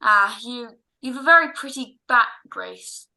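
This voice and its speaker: A man acts out a flustered, soft-hearted father of the bride for a classic radio play. The voice is high and very sharp, blustery, tripping over repeated words. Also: unhurried, heavily acted, poor audio. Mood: fond. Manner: blustering, hesitant with stammered repeats. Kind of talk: old-time American radio drama acting. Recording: online home recording.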